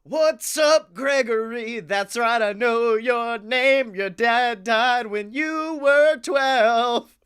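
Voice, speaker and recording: A man voicing a menacing demon in an animatronic fish, taunting with drawn-out words in a comedy podcast bit. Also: very high voice, a bit bright, smooth, heavily acted.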